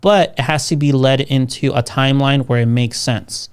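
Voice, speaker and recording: A man in his thirties talking in a casual podcast conversation, in a measured, purposeful way. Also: medium pitch, steady tone, clean tone. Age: 30s.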